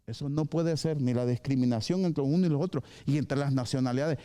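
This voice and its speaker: A male pastor preaching a live sermon at a church service. He is lively, acts out short dialogues and drops English phrases into his preaching. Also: mid-range voice, brisk.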